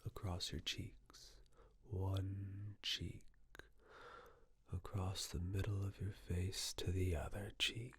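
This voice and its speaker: A person whispering an ASMR personal-attention roleplay, giving gentle, soothing step-by-step instructions in a breathy, mostly unvoiced voice. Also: low-pitched, dry recording.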